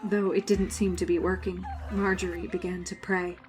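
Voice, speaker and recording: Woman as a lowish-voiced, haunted horror narrator. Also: little pitch movement, moderate pace, close to an everyday voice. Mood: dread. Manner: grave, suspenseful storytelling. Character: haunted grown woman narrating her frightening schooldays. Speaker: narrator and main character. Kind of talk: scripted first-person horror narration.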